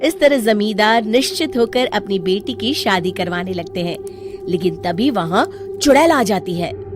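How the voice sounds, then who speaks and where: A woman's voice: medium pitch, expressive, a little slow, music in the background. A woman narrating a scripted cartoon story.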